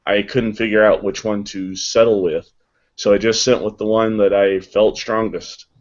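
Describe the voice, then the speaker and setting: A man, a voice actor, answering interview questions casually in a deliberate, wordy way. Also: lowish voice, little pitch movement. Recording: dull lo-fi online call audio.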